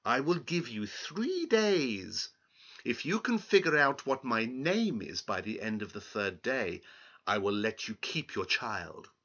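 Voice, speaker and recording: A man performs a mischievous, odd little fairy-tale man with a short temper. The delivery is bright and theatrical, leaping up and down in pitch, with drawn-out, emphatic words. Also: very animated, muffled recording, full character voice. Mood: sly, bargaining. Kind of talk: storybook character voice.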